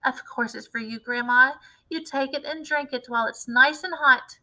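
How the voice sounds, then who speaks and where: An eager, pushy farmer father, loud and impatient, talking in a high, bright voice, as a woman puts him on for a storybook. He barks and urges rather than speaks. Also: expressive, moderate pace, rough recording. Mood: eager bossy.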